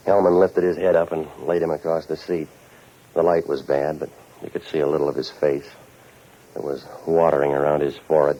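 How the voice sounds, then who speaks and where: Low-voiced deadpan radio private eye. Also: male voice. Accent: American. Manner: deadpan, terse, sardonic. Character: cynical, wisecracking hard-boiled private detective narrator. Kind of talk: 1940s radio noir acting and narration. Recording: muffled old 1940s radio recording.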